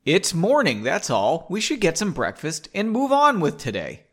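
A man acts out an easygoing young man in a storybook. He is gentle and teasing, with a light, placating delivery that moves up and down in a raised pitch. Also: huge pitch swings, normal speed, heavily acted.

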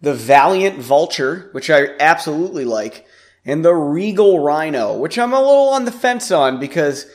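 A man, raspy and congested from a sinus infection, hosting a podcast in a rambling, self-deprecating way. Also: a little high. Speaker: podcast host. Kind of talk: casual podcast chat.

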